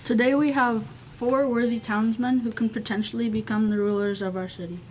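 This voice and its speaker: A woman's voice: on the high side, fairly even intonation, brisk, darkish tone, close to an everyday voice. A young woman in an amateur radio play, playing a formal announcer in ancient Athens. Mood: formal, presenting. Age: young adult. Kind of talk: amateur old-fashioned radio play acting. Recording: clearly noisy amateur recording with clicks.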